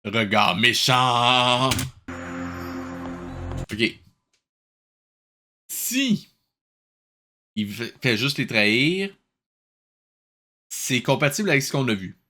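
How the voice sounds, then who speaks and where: A man's voice: medium pitch, sing-song, very slow, pauses often, music in the background. A man with a Québécois accent hosting a reaction show, giving casual, incredulous and colloquial running commentary.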